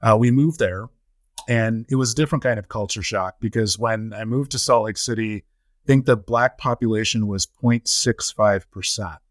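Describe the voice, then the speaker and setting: A middle-aged man in his forties or fifties, a podcast guest, answering interview questions in a measured way with many ums and uhs. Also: lowish voice, no echo, clean studio sound. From United States. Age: 40s to 50s. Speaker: podcast guest, nonprofit CEO.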